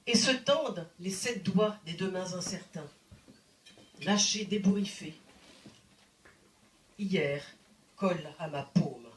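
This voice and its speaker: A woman reciting poetry in a deliberate, dramatic manner with expressive phrasing and pauses. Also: fairly low pitch, slight background noise. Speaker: poet reciting. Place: indoor live poetry evening venue. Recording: roomy live recital sound. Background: plucked guitar music.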